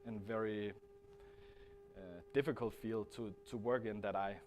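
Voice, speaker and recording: A man's voice: on the low side, steady tone, a little slow, a little mellow, slight background noise. A man from Denmark in his thirties with a Danish accent, giving a presentation at a conference with many hesitant restarts. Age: 30s. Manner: hesitant, frequent restarts and fillers. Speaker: guest speaker.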